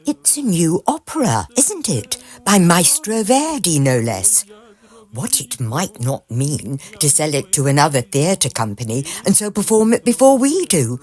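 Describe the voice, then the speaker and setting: Actress as low-voiced, suspicious young woman. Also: big pitch swings, unhurried, a little mellow, heavily acted. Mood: insinuating. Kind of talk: period audio drama acting.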